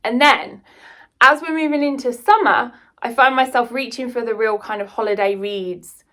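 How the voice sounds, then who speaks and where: A woman's voice: on the high side, normal speed, fairly bright tone. A British woman chatting casually and enthusiastically to viewers in a rambling book vlog. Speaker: book vlogger and writer.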